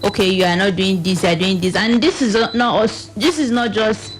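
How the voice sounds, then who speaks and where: A woman's voice: mid-range voice, brisk, faint music behind. A woman with a Nigerian accent explaining issues as a radio panel guest, somewhat hesitant, repeating phrases.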